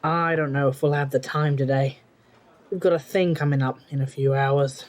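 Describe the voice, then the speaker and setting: Man playing a cocky, higher-voiced young man. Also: fairly even intonation, normal speed, close to an everyday voice. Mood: dry, suspicious. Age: young adult. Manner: sarcastic, overconfident. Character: cocky, sarcastic young man, an arrogant occultist. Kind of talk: audio drama acting.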